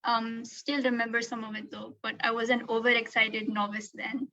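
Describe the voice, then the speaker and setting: A woman's voice: a little high, level tone, normal speed, sharp tone, dull audio, a little hiss or hum. A woman introducing herself on a panel, modest and self-deprecating. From India. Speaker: debate panelist.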